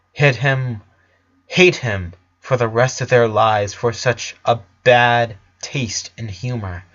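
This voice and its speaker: A man narrates a dramatic story at a slow, deliberate pace with a medium-pitched voice. The recording is dull and lo-fi. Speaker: narrator reading fan fiction.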